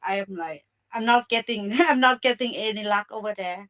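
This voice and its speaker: A woman's voice: mid-range voice, moderate pace, fairly bright tone. A woman from Thailand with a Thai accent, hosting a casual live audio chat in chatty, broken English. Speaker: live stream host. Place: Bay Area.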